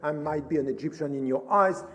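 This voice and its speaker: Male conference speaker, high-pitched voice, emphatic and didactic, argues formally, long hall reverb. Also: fairly quick, a bit bright. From Switzerland. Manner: emphatic, didactic, poses questions and answers them. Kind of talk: formal conference lecture, argumentative.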